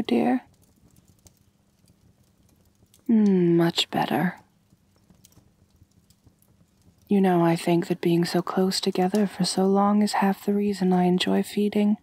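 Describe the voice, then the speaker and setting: A woman playing an elegant, doting, gently dominant vampire mistress in an intimate ASMR roleplay, coaxing in a soft, genteel voice close to the microphone. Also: on the low side, dry recording. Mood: intimate, hungry. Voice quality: soft, breathy, husky. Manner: slow, coaxing, genteel, purring endearments. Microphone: close, dry microphone.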